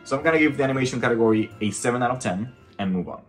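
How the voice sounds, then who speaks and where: A man's voice: medium pitch, racing, faint music behind. A man from the Dominican Republic, a native Spanish speaker, giving a casual, opinionated film review in an emphatic, conversational way.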